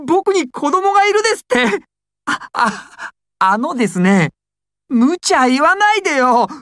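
Young male anime gangster: high-pitched, jittery, stammering, servile but explosive, dry close recording. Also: a bit bright, no echo. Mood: flustered. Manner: stammering, swings from timid to explosive. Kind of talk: anime and game dramatic acting.

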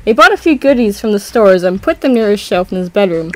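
Young woman reading fanfic out loud, jokey side comments, normal pitch. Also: expressive, fast, poor audio. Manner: irreverent, giggly asides. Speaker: co-reader and narrator. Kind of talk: joking dramatic reading with commentary.

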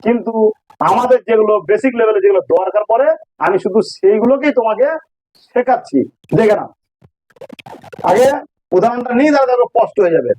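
Very high-pitched man teaching grammar. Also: lively intonation, a little fast, long pauses, darkish tone, rough recording. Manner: didactic, repeats key phrases and checks understanding. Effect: voice changer. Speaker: English teacher. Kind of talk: informal grammar lesson explained step by step.

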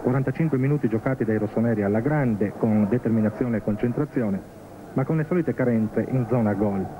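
A male reporter narrates football highlights from a prepared script. His mid-pitched voice comes through thin and phone-like, with clear noise, like an old television broadcast. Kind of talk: scripted match highlights voice-over commentary.

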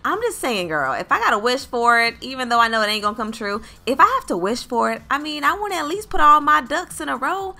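A woman acts out a sassy young woman looking for romance in a roleplay story. Her voice is high and bright, and her slangy girl talk is very animated and expressive. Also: quick pace, few pauses, exaggerated voice. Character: sassy, boy-crazy young woman chatting with her girlfriend. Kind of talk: improvised roleplay story narration and dialogue.

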